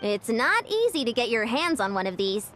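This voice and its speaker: A woman's voice: on the high side, very animated, moderate pace, fairly bright tone, faint music behind. A woman playing a peppy, welcoming young athletic coach in a video game, outgoing in manner. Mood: upbeat, welcoming. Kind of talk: video game character acting.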